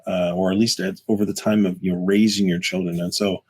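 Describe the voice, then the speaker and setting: A man's voice: sits low, flat delivery, brisk, a little mellow, a little hiss or hum. A man co-hosting a podcast, talking in an earnest, reflective way and often repeating words. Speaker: podcast co-host. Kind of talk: casual podcast chat.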